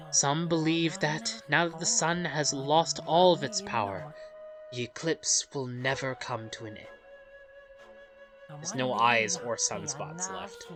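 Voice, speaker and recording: A woman hosting a let's play, reading a game's story aloud in a dramatic storyteller way and adding her own commentary. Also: deep voice. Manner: dramatic storyteller delivery with interjections. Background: game music.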